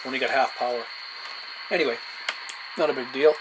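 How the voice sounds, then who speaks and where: A man chats casually in a halting, laid-back way, using CB radio jargon like a hobbyist at his desk. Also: mid-range voice. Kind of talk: casual radio chat and demo narration. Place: indoor desk beside CB radio sets. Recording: noisy room with radio hiss. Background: radio static and room noise.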